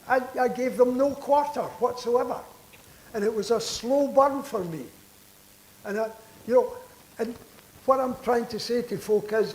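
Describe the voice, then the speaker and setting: A man's voice: very high voice, a little mellow. An older man who is a veteran politician, talking earnestly on a conference panel and restarting words as he presses his point. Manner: earnest, persuasive, repeats words when stressing a point. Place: conference hall. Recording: echoey hall with background noise.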